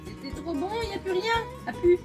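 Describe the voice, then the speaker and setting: A woman's voice: very high-pitched, expressive, brisk, a little mellow. A woman in her thirties chatting cheerfully as she films a camper van trip, outdoors and inside the van, on a handheld action camera. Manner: chatty, cheerful running commentary. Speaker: vlogger. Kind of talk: casual travel vlog narration. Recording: music playing underneath.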